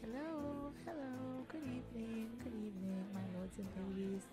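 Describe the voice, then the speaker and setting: A woman's voice: fairly high pitch, steady tone, over loud music, natural voice. A woman playing a weary, proud and guarded young half-blood princess in a scripted roleplay audio drama, speaking in formal, wistful phrases. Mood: politely formal. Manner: formal, measured, wistful storybook speech.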